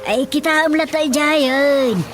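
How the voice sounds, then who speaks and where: A woman's voice: sits high, slow pace. A woman with an Ilocano accent acting as a village wife and mother in a radio drama. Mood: calm. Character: rural mother, wife waking at night, questioning. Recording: music playing behind the voice.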